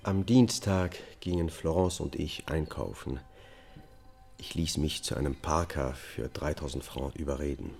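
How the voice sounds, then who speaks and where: An actor playing a weary accused man in a radio drama, confessing in a halting, controlled way. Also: a bass voice, vocal fry, darkish tone, faint music behind, full character voice. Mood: subdued recounting. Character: male accused family killer, a weary confessing double-life husband. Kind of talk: radio drama acting, first-person testimony.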